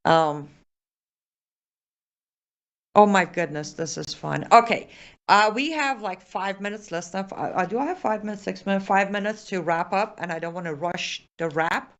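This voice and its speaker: A woman's voice: mid-range voice, moderate pace. A woman with a Persian accent, hosting a live show and chatting through viewer comments, with many fillers and restarts.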